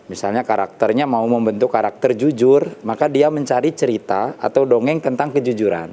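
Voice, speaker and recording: Warm-toned man explaining calmly. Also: medium pitch. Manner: measured explanation with occasional fillers. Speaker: Islamic storyteller and teacher. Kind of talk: explaining in a TV interview. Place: TV studio.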